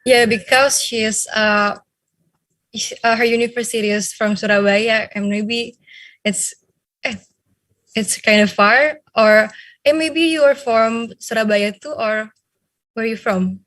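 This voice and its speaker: Young woman slowly co-hosting on a video call. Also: a little high, a bit bright, no room sound. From Indonesia. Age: young adult. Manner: friendly, hesitant with fillers. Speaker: co-host. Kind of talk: online event hosting.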